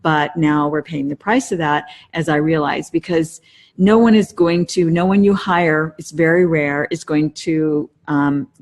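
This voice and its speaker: A woman's voice: sits low, unhurried. A middle-aged woman co-hosting a podcast, giving casual conversational advice in a reflective, explanatory way. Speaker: podcast co-host.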